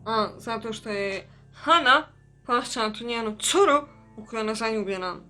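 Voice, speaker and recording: A woman's voice: on the high side, brisk, sharp tone. A woman giving casual let's play commentary as host of a gaming video. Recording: voice over game music.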